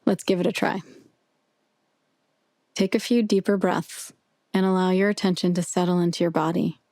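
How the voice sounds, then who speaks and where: A woman narrating a guided meditation with soothing, measured, gentle instruction. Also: on the low side, fairly even intonation, no echo, clean studio sound.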